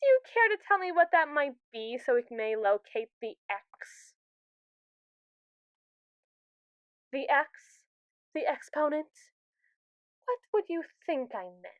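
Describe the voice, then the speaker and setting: Girl doing a snooty evil robot for asmr roleplay, theatrical and contemptuous of humans, highish voice, no room echo at all. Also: very animated, a little slow, dull audio. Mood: coolly analytical. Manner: condescending, sarcastic, theatrical. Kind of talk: ASMR audio roleplay acting.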